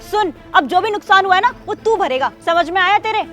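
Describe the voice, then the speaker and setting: A woman's voice: very high-pitched, lively intonation, brisk, soft background music, full character voice. A woman playing a rude, haughty rich woman. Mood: furious. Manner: rude and shouting, later softly apologetic. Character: arrogant rich young woman driver. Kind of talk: short social drama skit.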